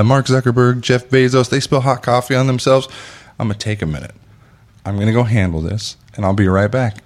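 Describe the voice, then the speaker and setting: A man in his thirties hosting a casual chat, telling stories animatedly, acting out quotes and swearing freely. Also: on the low side. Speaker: podcast host.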